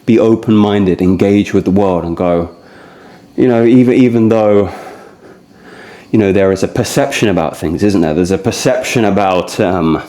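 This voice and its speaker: Low-voiced man in his thirties, rambling casually. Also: moderate pace, mellow. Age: early 30s. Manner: discursive, conversational, addresses listeners directly. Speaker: fitness vlogger leading a core routine. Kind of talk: casual rambling talk to camera.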